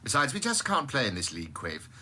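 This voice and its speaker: Blunt, animated British government scientist. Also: male voice, medium pitch, quick pace, full character voice. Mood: dismissive. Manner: blunt, plain-spoken. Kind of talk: radio drama acting.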